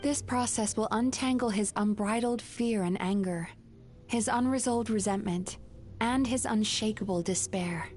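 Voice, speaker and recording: An actress voicing a calm, knowing young woman healer in a video game, explaining things in a measured, solemn way. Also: mid-range voice, soft background music, natural voice. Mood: soothing, solemn. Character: composed young woman, dream-eating healer, gentle and knowing. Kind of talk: scripted video game voice acting.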